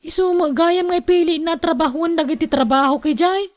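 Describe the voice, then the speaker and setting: A young Ilocano Filipino wife in a radio drama. Her voice sits high. She is resentful and sharp-tongued, forever complaining about her in-law. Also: brisk. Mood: scornful.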